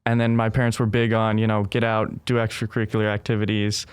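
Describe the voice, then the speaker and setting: A young man who grew up in Brazil talks through his answers in an earnest, thoughtful way, often checking in with a quick "right?". His voice sits a little low and sounds smooth and resonant, and the recording is close and dry. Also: completely flat delivery, no room sound. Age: young adult. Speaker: guest. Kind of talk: casual conversational interview.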